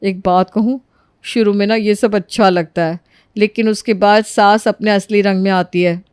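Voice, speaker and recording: A mid-pitched, dry voice of a young female friend played by a woman in a cartoon family drama. She is worldly and insistent, talking like someone who knows better. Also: steady tone, moderate pace, darkish tone, clean tone, dry recording, close to an everyday voice. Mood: knowing.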